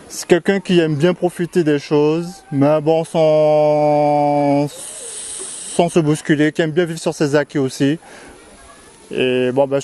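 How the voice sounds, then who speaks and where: A man in his thirties from French Guiana, talking casually and calmly to the camera with frequent hesitations. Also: a little high, clear, resonant tone. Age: 30s. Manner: calm, unhurried, with hesitations.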